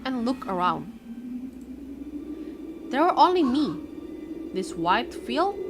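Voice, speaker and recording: A young woman streamer whose first language is Indonesian, reading a visual novel aloud and breaking off to react and greet her chat. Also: mid-range voice. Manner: reads the story dramatically, breaks off for excited reactions and greetings to chat. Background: game music.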